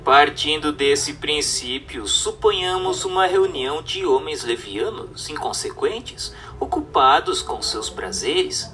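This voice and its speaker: A man's voice: on the high side, animated, takes it slowly, few pauses, pushed and bright, recorded from a distance, faint music behind. A man narrating a scripted radio drama adaptation of a book, reading in an emphatic, declamatory way.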